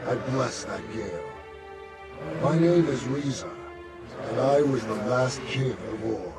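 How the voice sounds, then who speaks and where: An actor playing a freed spirit king of an ancient land, grateful and solemn, speaking slowly and regally in a game cutscene. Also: mid-range voice, expressive. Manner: slow, measured, regal. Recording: game audio over loud music.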